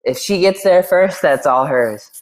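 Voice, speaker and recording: A man from Wisconsin, a pro player, guesting on a podcast, relaxed and self-deprecating with frequent laughs. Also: fairly high pitch, unhurried. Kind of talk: casual podcast interview.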